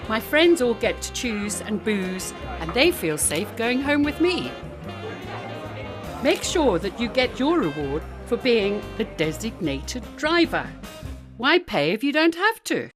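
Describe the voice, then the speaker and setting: A woman reads a radio ad with a lively, bouncy tone, her voice a little high. Clear music plays behind her. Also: slow pace. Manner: bright and lively promotional. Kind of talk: radio advert.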